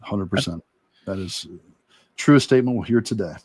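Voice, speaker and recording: Low male voice, art teacher rambling thoughtfully while he draws, halting, super dry sound. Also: a little mellow, slightly rough, dry recording, low-quality recording. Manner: reflective, halting, long rambling answers. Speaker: illustrator and course instructor demonstrating. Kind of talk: casual demo talk while drawing.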